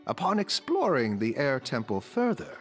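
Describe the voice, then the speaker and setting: A man playing a patient, wise older storyteller narrating a video game tale in a measured, solemn way with deliberate emphasis. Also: medium pitch, huge pitch swings, faint music behind. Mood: grave storytelling.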